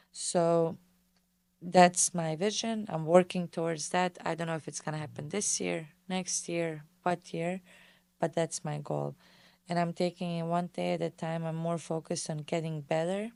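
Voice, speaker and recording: A woman's voice: on the low side, steady tone, a little slow, creaky, darkish tone, clear, resonant tone, no room sound. A woman from Bosnia and Herzegovina, hosting a casual solo question-and-answer podcast in a chatty way, full of fillers. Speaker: podcast host and tennis pro.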